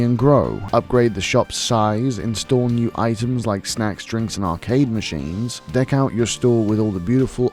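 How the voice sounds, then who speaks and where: Wry low-voiced Welsh man narrating. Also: a little mellow. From South Wales. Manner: wry, profane, nostalgic storytelling. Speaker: video game reviewer. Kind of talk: scripted voice-over narration.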